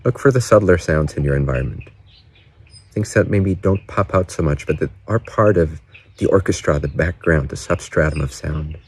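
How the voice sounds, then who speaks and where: A man leading a guided meditation in gentle, unhurried short phrases with pauses between them. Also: low voice, lively intonation, slightly rough. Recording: birdsong and faint outdoor ambience behind. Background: doves, frogs, birds and distant cars.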